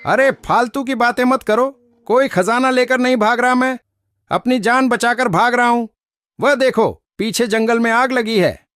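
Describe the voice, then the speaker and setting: A man playing a foolish, self-important and easily panicked donkey in a children's animated story, with a boastful, dramatic manner. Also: very high voice, measured pace, no room sound, studio quality. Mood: panicked, hurried.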